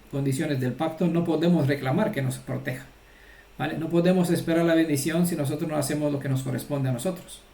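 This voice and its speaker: A man's voice: fairly high pitch, darkish tone, echoey room. A man giving an informal, earnest religious teaching and often checking that his listeners follow. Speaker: Torah teacher.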